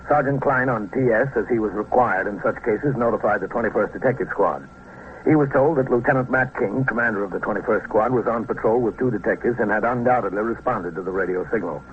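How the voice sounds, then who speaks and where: An actor playing a steady police captain who narrates his case in a radio drama, measured and official. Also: lowish voice, natural voice. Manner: measured, official, matter-of-fact. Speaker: narrator and lead. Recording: tinny, noisy old radio recording.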